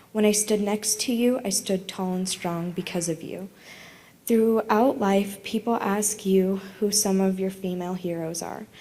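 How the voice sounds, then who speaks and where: A woman's voice: medium pitch, mellow. A woman reading a written personal tribute aloud at a memorial service. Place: memorial service in a room full of people.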